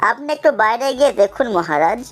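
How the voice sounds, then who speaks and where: Woman playing timid high-voiced young servant. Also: lively intonation, quick pace, natural voice. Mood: anxious, pleading. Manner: earnest, deferential, pleading. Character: timid, pleading young servant, newly hired. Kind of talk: children's cartoon story dialogue.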